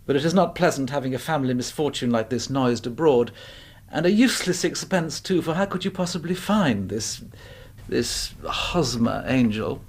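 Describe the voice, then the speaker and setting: A man's voice: medium pitch, animated, moderate pace, a little hiss or hum, close to an everyday voice. An actor playing a bland, slippery man of about thirty in a radio drama, with prim, evasive politeness. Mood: ingratiating, uneasy.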